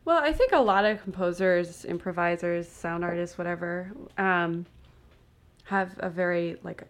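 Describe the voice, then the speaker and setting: A woman's voice: on the low side, measured pace. A woman interviewing a guest in a casual chat, speaking in a hesitant, thoughtful way.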